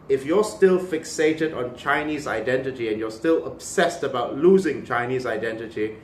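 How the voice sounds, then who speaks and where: A man's voice: a little high, far from the mic, slight background noise. A man from Malaysia giving an opinionated video commentary, emphatic and impassioned, with sardonic asides. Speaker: cultural commentator. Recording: echoey room.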